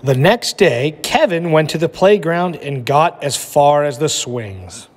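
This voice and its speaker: A man's voice: fairly high pitch, lively intonation, a little slow. A man with an instructive manner, speaking as a teacher reading a children's storybook aloud. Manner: instructive, checks in with listeners. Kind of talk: lesson and storybook narration. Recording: echoey room with long reverb.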